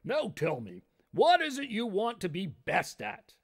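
A man voicing a magical talking bear who speaks in a slow, measured way. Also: high-pitched, wildly swooping pitch, gravelly, husky, pitched above the performer's own voice, exaggerated voice. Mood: solemn. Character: solemn magical talking bear granting wishes.

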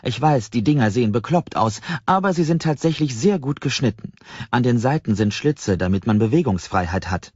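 A man's voice: medium pitch, expressive, moderate pace, darkish tone, husky, pitched above the performer's own voice. A man voicing a cheerful, welcoming doorkeeper of a secret library in an audiobook, friendly, amused and eager to explain. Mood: cheerful, helpful. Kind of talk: audiobook character voice. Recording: slightly dull, roomy audiobook recording.

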